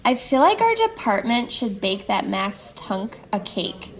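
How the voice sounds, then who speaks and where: An actress playing a brash, wisecracking, tough police officer in an audio drama, casual and cheeky. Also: female voice, mid-range voice, very animated, unhurried. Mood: wry, upbeat.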